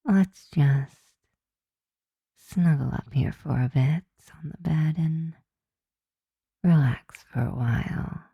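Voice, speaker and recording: A woman playing a flirty, softly dominant, affectionate vampire roommate in an intimate ASMR roleplay, breathy and often whispering, teasing and tender. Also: low voice, slow, pauses often, dry recording, lo-fi sound, exaggerated voice.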